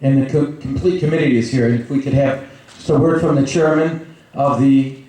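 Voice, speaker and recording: A man with a mellow, dark voice introduces the next speaker, stopping and restarting as he goes. The hall echoes heavily, and he sounds far from the mic. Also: medium pitch, fairly even intonation. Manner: halting, informal. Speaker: event emcee.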